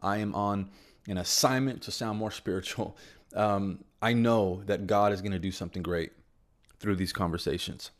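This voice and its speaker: A man hosting a podcast, talking in an informal, conversational way with relaxed slang. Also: lowish voice, normal speed. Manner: informal, peppers speech with "bro". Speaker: podcast host. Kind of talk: casual, conversational podcast talk.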